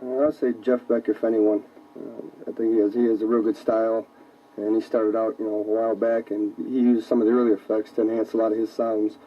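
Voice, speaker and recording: American guitarist guy talking fast and flat, rambly, off the mic, muffled old radio tape. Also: fairly low pitch. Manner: rambling, heavy use of "you know". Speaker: rock guitarist being interviewed. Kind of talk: casual interview chat. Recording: distant, dull lo-fi radio aircheck tape.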